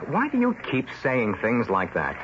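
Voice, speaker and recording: An actor playing a stubborn, suspicious male newspaper reporter in a radio drama, talking fast and combative. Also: medium pitch, huge pitch swings, a little hiss or hum. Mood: argumentative. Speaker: lead character in radio drama. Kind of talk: 1970s radio drama acting. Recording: muffled old radio broadcast.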